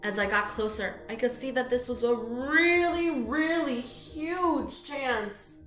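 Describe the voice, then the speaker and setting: A woman reading a picture book aloud to children in an expressive, inviting way, with friendly chatty asides. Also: fairly high pitch, takes it slowly, soft background music. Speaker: children's storytime reader. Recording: roomy echo.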